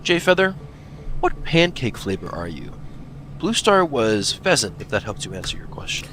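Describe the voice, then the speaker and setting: A man voicing a playful tomcat warrior who reads out fan questions as announcer, teasing, goofy and deadpan by turns. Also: mid-range voice, normal speed. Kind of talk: improvised in-character fan Q&A. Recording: music behind the voice.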